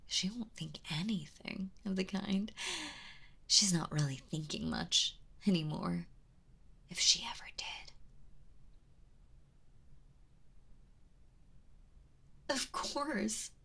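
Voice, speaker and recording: A woman playing a kind but obsessive, protective yandere in an intimate ASMR roleplay, speaking haltingly and often dropping toward a whisper. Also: medium pitch, expressive, bright, forceful tone, full character voice. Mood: soothing, sinister. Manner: hesitant, halting, breathy with drops into whispering.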